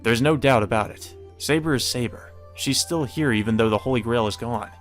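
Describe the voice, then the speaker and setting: A man's voice: mid-range voice, a little fast, faint music behind. Man narrating a visual novel playthrough. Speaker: let's play commentator. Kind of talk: reading visual novel narration aloud with casual commentary.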